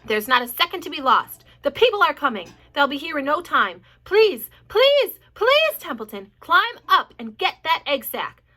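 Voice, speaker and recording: A woman voicing a young male pig in a storybook reading, high and frantic as he pleads. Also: lively intonation, a little fast, hardly any pauses, pushed and bright. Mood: pleading.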